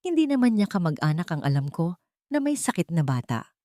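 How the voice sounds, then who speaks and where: A woman's voice: on the low side, very animated, brisk, mellow, no echo, studio quality. A woman voicing a curious, confiding hospital nurse gossiping in a narrated story. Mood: puzzled, curious.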